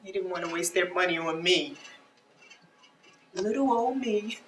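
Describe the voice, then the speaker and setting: A woman's voice: fairly low pitch, measured pace, soft background music, lightly acted. A woman playing a hurt, regretful woman in her forties in a stage play, plaintive and emphatic. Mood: hurt, wistful. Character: hurt, regretful woman nearly forty-five who gave up dancing. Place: theater stage. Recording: echoey stage, picked up from far off.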